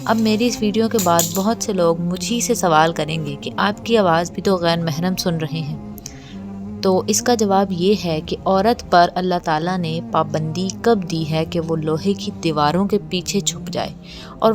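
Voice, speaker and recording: A woman's voice: lowish voice, measured pace. A woman giving a religious explainer talk in a didactic, admonishing manner. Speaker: religious lecturer. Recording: clear music behind the voice. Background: background music.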